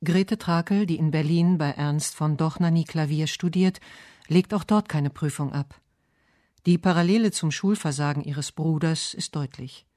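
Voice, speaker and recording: A man with a fairly high voice reads a literary radio essay. He is measured and articulate, and the sound is dry, with no room on it. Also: darkish tone, no echo. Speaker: radio essay narrator and poetry reader. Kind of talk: scripted literary narration and recitation.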